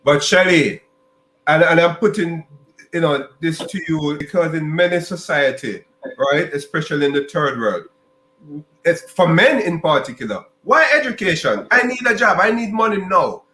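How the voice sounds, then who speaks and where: A man's voice: on the high side, lively intonation, moderate pace, pushed and bright, roomy sound, poor audio. A man from Jamaica, hosting a podcast interview and asking long, rambling questions with many restarts and repeated words. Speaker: podcast host.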